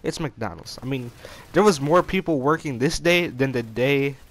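Young american guy doing a storytime voiceover, slangy n rambly, kinda exasperated, regular mid pitch. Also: animated, normal speed. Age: young adult. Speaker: gaming YouTuber telling a personal story. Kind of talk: casual storytime chat over gameplay.

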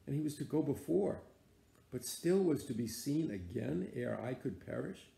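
Guy doing a gravelly old sea captain, grim and obsessed, barking orders in old-time talk, echoey room. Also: mid-range voice, measured pace, dark tone. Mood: muttering. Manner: commanding, archaic speech.

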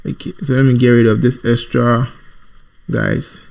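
A man's voice: mid-range voice, level tone, gravelly, a little mellow. Man thinking aloud through a coding tutorial. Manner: thinking aloud while typing, halting, repeats himself. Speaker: programming tutorial presenter. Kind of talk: informal screencast coding tutorial.

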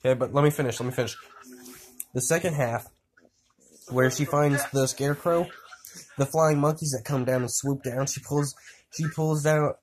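A man telling an improvised story to friends in a casual, crude and excitable way, repeating himself. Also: medium pitch, moderate pace, pauses often. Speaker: amateur storyteller. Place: indoors at home. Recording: noisy amateur handheld recording, clicks.

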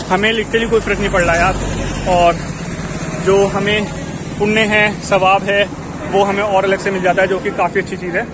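A young man from the Delhi area vlogging outdoors, talking earnestly and loosely in a casual mix of Hindi and English with fillers. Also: sits high. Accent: Hindi-English mix, Delhi region. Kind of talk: casual vlog talk to camera. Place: outdoors by a roadside cafe. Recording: loud traffic noise outdoors.

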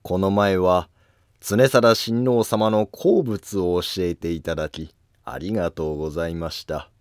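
A smugly polite court schemer , acted by a man for an animated period drama. He needles with mock courtesy, swinging above his usual low register at an unhurried pace, recorded dry. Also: smooth, dry recording. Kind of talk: Japanese historical animated drama acting.

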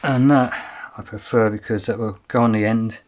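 A man's voice: lowish voice, steady tone, moderate pace. A man in his fifties with an Australian accent, narrating casually as he builds storage in a small workshop, practical and thinking aloud. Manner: practical, matter-of-fact, thinking aloud while working. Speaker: outdoors channel host.

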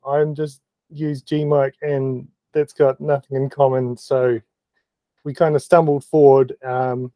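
Slow, mellow New Zealand man. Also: mid-range voice, no room sound. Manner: halting, many ums, self-deprecating laughs. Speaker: meeting participant presenting photo-editing experiments. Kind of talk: casual technical explanation on a video call. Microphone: video call.

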